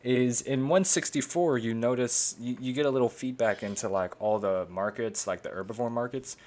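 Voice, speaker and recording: A man's voice: mid-range voice, moderate pace, a little mellow. A man co-hosting a casual group podcast, rambling along in an amused, enthusiastic way. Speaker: podcast co-host.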